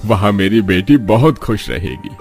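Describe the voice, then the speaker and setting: Man acting a middle-aged cartoon father, low voice, protective, earnest, faint music behind. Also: sing-song, normal speed. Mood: happy. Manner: earnest, reassuring. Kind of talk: cartoon story dialogue.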